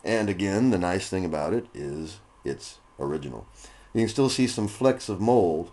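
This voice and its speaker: A man giving a casual, unhurried hands-on demonstration, explaining each step as he works. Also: low voice, animated, warm tone. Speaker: hobbyist demonstrator, host. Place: indoor hobby room. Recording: noisy room, machine noise.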